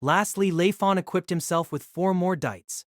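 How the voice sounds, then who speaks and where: A man narrating an audio drama in scripted storytelling style, expressive and engaged. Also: normal speed, dry recording, studio quality. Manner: expressive storytelling with lively pitch.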